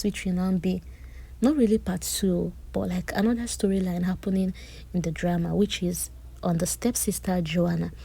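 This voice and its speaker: A woman gives a chatty, animated recap of a reality-show drama, with personal asides. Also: fairly low pitch, gravelly, husky. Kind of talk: casual recap commentary.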